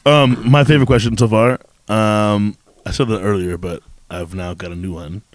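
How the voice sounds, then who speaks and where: A man co-hosting a podcast in casual chat, talking haltingly as he works out his thoughts. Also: lowish voice, fairly even intonation, no echo. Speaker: podcast co-host.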